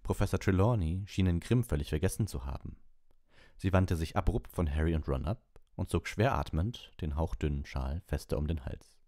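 A man's voice: low voice, animated, moderate pace, warm tone, dry recording, studio quality. A man reading an audiobook as narrator, in scripted storybook narration.

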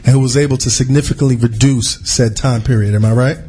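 A man's voice: mid-range voice, fairly even intonation, fast, dark tone, faint music behind. A man hosting a casual studio podcast interview, talking loosely with many restarts and repeated words. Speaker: podcast host.

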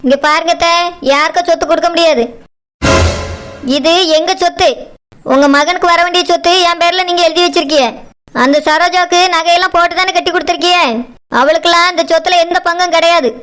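A woman's voice: very high voice, steady tone, brisk, a bit bright. A woman playing a selfish, hard-hearted daughter-in-law in a family drama skit, curt and dismissive, with a southern Tamil Nadu accent. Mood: cold defiance. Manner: scolding, dismissive.

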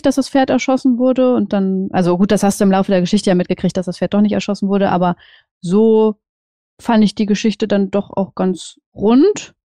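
Mellow-voiced woman in casual chat. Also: medium pitch, no room sound, studio quality. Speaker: panelist on a review podcast.

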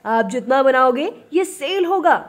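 A woman's voice: high-pitched, expressive, unhurried. A woman reading a scripted business how-to voice-over in an upbeat, step-by-step instructive way. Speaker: business video narrator.

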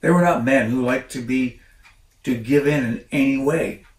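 An older man reads a children's book aloud in a casual, unhurried way, breaking off for chatty asides. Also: mid-range voice. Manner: informal, unhurried, commenting as he reads. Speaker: storyteller reading a children's book. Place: living room by a stone fireplace. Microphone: camera a few feet away. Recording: roomy, distant mic, faint noise.

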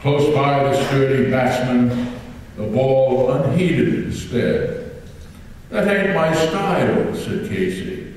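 A man's voice: slow pace, a little hiss or hum. An older man, a teacher, reads a comic poem aloud from a lectern with swelling dramatic emphasis. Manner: reads from the page with swelling emphasis and lively shifts of pitch. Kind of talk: dramatic poetry recitation. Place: large hall, on a stage. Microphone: lectern microphone heard from a distant camera. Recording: echoey hall, voice from far off.

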